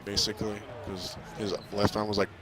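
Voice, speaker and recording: A young man casually recapping a movie in an excitable, rambling, hesitant way. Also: on the low side, a little fast. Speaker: vlogger reviewing a film. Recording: crowd chatter, bouncing basketball sounds behind.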